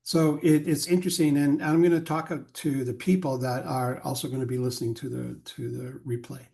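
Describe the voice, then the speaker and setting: A man's voice: medium pitch, little pitch movement, fairly quick, vocal fry, mellow. A man, a spiritual counselor, speaking on a video call and asking questions with many repeated words. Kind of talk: casual talk on a video call.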